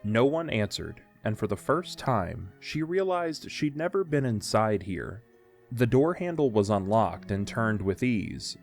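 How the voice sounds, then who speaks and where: Lowish-voiced man narrating a story. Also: creaky. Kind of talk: scripted audio drama storytelling.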